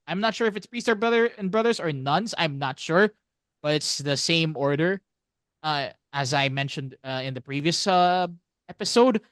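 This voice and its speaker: A man with a fairly high, very clear voice, recorded close and dry. He explains things as a podcast co-host in a casual, halting way, often repeating himself. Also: animated, a bit bright, no room sound. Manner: halting, circles back and repeats phrases.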